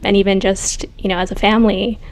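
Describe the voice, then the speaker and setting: A young woman from Los Angeles, an audio editor guest-hosting a podcast, talking casually and softly with small laughs. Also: medium pitch, little pitch movement, fast talker, slight background noise. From Los Angeles, United States.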